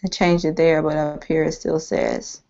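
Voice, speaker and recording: Woman trainer, low-ish voice, going hoarse, rambling screen-share delivery, dull lo-fi computer-mic sound. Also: animated, normal speed, warm tone. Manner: rambling, thinking aloud with many ums. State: losing her voice, a bit hoarse. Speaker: online marketing trainer. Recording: dull lo-fi screen-capture audio.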